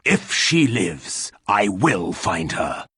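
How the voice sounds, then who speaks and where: A man voicing a gruff, sardonic warrior hero in a video game, speaking in a formal, deadpan, husky way. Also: low-pitched, slow, full character voice. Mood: solemn.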